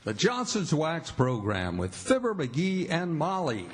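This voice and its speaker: A man's voice: fairly high pitch, wildly swooping pitch, unhurried, heavy background noise. A man announcing in scripted old-time radio style. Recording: loud music under the voice.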